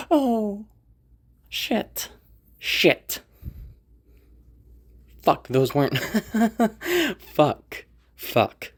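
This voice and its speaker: A woman's voice: sits low, slightly rough, exaggerated voice. A woman voicing a sociopathic rich young woman who mutters her plans to herself and swears a lot. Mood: alarmed. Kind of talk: audio roleplay monologue.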